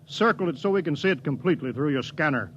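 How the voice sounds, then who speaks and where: An actor playing a cautious, stern starship captain in a 1950s radio drama, giving clipped orders with dry, wary humor. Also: male voice, a little high, expressive, quick pace, fairly bright tone, a little hiss or hum. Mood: commanding. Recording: dull lo-fi old radio broadcast.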